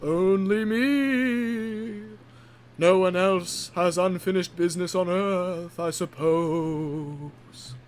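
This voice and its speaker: A man voicing the slow, sardonic ghost of a dead diesel engine with drawn-out, condescending words. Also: high-pitched, a little hiss or hum, pitched above the performer's own voice. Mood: smug, weary.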